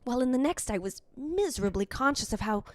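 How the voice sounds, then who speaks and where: A woman playing the wistful, fastidious ghost of a dead wife in a measured, formal radio drama monologue. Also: high voice, lively intonation, no room sound. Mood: bewildered.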